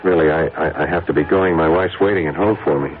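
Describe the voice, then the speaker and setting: An actor playing a reserved, stubborn, principled married executive in a radio drama. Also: male voice, a bass voice, fast talker, vocal fry, slight background noise. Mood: reserved, firm. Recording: tinny vintage radio broadcast, faint music.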